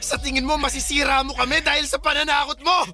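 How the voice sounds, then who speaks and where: An indignant young boyfriend in a radio drama, shouting in outrage. His voice is strained very high, with prominent music behind it. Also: level tone, fast talker, heavily acted. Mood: furious.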